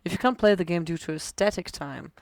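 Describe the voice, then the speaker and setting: A hoarse woman casually rambling through gameplay commentary as a let's play creator, self-deprecating with many fillers. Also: on the low side, fast, a little mellow, no room sound.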